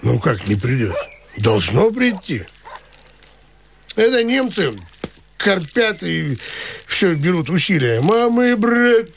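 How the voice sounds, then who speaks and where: A man's voice: high voice, big pitch swings, slow pace, gravelly, long pauses, a little hiss or hum, exaggerated voice. A man acting an easygoing, idle provincial brother in a radio play, talking in a folksy, evasive drawl. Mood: complacent.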